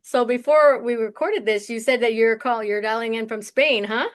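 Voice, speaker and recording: A woman's voice: fairly high pitch, moderate pace. A woman hosting an interview, enthusiastic and bubbly as she welcomes and questions her guest. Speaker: interview host.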